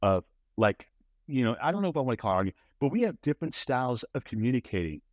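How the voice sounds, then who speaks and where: This is a man chatting casually on a podcast, expressive and animated, with a big voice that sits at an ordinary middle pitch. He restarts his sentences and keeps checking in with his co-host. The sound is dry and close, with no room in it. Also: quick pace, no echo. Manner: many restarts and repeated "right?".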